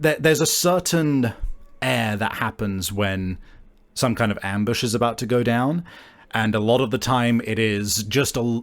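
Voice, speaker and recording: Low, slow-paced male game master. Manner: halting, searching for words. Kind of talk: improvised tabletop game narration.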